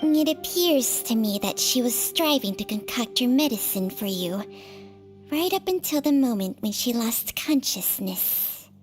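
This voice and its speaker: A woman playing a calm, considerate companion in a video game, explaining things in a measured, grave way. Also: a little high, soft background music. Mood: gentle, solemn.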